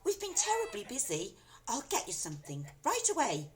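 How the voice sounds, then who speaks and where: Shrill panicky wailing mother. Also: wildly swooping pitch, normal speed. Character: fretful anxious mother, grown daughter.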